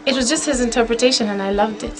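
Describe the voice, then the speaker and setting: A woman's voice: on the high side, rough recording. Laid-back woman singer with light music behind. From Kenya. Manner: relaxed, laughs often, drawn-out phrasing. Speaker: singer-songwriter guest. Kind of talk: casual interview talk.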